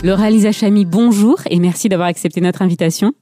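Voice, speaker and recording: A woman's voice: medium pitch, fast, music in the background. A woman hosting a radio show, presenting and interviewing from a script in a formal, articulate way.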